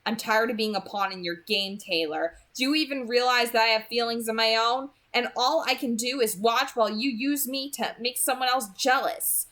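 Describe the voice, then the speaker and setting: A sullen teenage boy from a novel, voiced by a woman reader, speaks with dramatic, indignant emphasis. The pitch rises a little above an ordinary reading voice. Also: moderate pace, few pauses, fairly bright tone, lightly acted. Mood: indignant, hurt.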